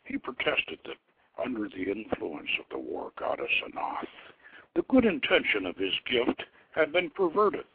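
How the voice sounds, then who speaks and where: A man hosting a radio show, speaking in halting, deliberate phrases with a husky voice, as storyteller and presenter. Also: mid-range voice, wildly swooping pitch, no echo. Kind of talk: informal show introduction and dramatic story reading. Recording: tinny phone-line radio sound.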